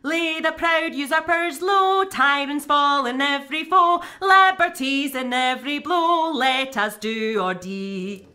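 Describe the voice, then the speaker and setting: A woman sings a traditional Scottish song at a slow pace. Her voice is high and bright. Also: faint music behind. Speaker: singer.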